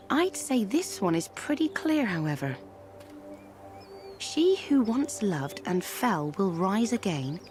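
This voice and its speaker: Woman doing a worldly fortune-teller herbalist for a game, wry n theatrical, mid pitch, music behind. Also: darkish tone, natural voice. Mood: curious, wry, then portentous. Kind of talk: video game cutscene acting.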